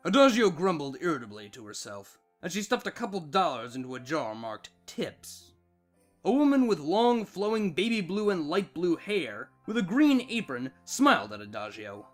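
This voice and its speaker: High-pitched, animated male story narrator. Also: normal speed, soft background music.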